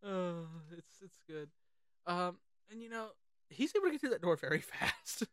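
An excitable, sweary podcast host chatting casually about films. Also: mid-range voice, normal speed, no echo, clean studio sound. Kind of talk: casual film chat with quoted lines.

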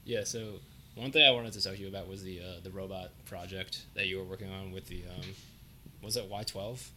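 A young man with a lowish, bright, forceful voice, hosting a relaxed chat. He leans on "like" and "um" as he talks, and faint room noise sits under him. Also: brisk. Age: young adult. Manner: filler-heavy, uses "like" often. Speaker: podcast host, student. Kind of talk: casual interview.

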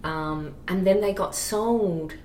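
A woman chats casually as a podcast guest. She is self-deprecating and laughs easily. Her voice sits a touch low and comes from a distance, with echo around it. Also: slow pace, noisy background. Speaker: podcast guest, social media marketer. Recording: roomy sound, distant from the mic.

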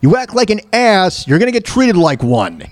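High-pitched, animated man ranting on news. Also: very noisy. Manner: halting, repetitive, rambling delivery; blunt, emphatic. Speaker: show host. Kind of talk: opinionated commentary on news clips.

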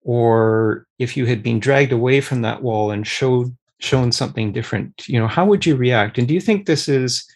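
A man moderating a group discussion, asking questions in a measured, thoughtful way. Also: mid-range voice.

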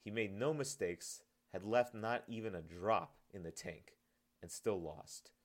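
A man's voice: lowish voice, unhurried. A man reading his own story as scripted audiobook narration.

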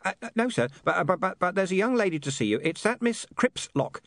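A man's voice: fairly high pitch, very animated, fairly quick, dry recording, clean studio sound, pitched above the performer's own voice. A man voicing a deferential, flustered overseer who stammers and repeats himself, in an audiobook. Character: flustered, deferential male print-works overseer.

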